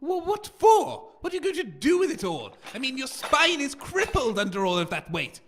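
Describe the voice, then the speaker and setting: An actor playing a grumpy, anxious radio co-host in a comic audio drama, fretful, complaining and dramatic. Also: male voice, extremely high pitch, wildly swooping pitch, moderate pace, fairly bright tone, slight background noise, full character voice. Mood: scornful.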